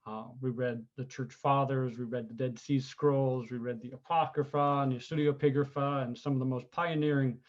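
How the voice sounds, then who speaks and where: A California man in his forties, speaking, answers interview questions in a relaxed, reflective way. His voice sits in a middle register and comes through slightly dull and lo-fi. He often pauses and restarts his sentences. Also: mid-range voice. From United States, California. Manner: thoughtful, unhurried, frequent ums and restarts. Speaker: interview guest, historian. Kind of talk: conversational interview.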